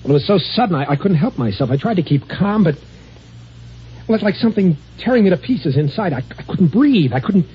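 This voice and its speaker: A man playing a nervous man terrified of the dark, stammering and halting, in a muffled old radio drama. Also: high-pitched, animated, slightly rough, full character voice. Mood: panicked. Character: frightened man, terrified of darkness. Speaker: office worker haunted by fear. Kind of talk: 1950s radio drama acting.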